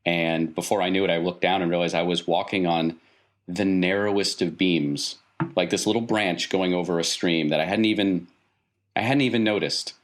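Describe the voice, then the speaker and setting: A man playing a deep-voiced, reflective wandering uncle. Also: steady tone, moderate pace, natural voice. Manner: reflective, repeats phrases. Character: wandering mystic uncle, male, patient but evasive.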